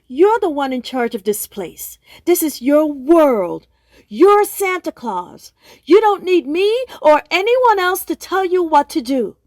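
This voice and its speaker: A woman's voice: sits high, sing-song, a little mellow, dry recording, heavily acted. A woman playing a firm, no-nonsense doctor counselling Santa in a comic radio play. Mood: emphatic, encouraging. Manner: assertive, deliberate, probing.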